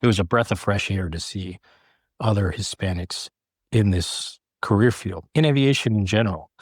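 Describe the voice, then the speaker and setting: A man in his late thirties from California, telling his own career story in an interview, earnestly and in short measured phrases. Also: lowish voice, slightly rough, no room sound, clean studio sound. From California, United States. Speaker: air traffic controller, podcast guest.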